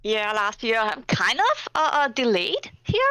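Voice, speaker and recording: A woman with a high, lively voice chats casually as a stream guest. Her sound is dry and close, over an online voice chat. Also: measured pace, no room sound. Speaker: guest joining a live stream. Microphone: voice chat on a computer.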